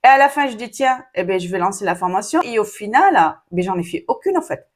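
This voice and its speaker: A woman's voice: mid-range voice, big pitch swings, a little fast. Woman, online business coach, casual chatty delivery, lots of fillers and asides, Arabic first language. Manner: chatty, frequent fillers, addresses viewers directly. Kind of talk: casual talking-to-camera advice.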